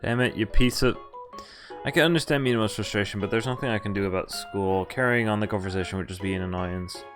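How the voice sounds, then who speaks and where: A man's voice: on the low side, quick pace. A man streaming a visual novel, reading the dialogue aloud and commenting casually in a dry, sardonic way. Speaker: game streamer. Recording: game music clearly behind voice. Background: game music.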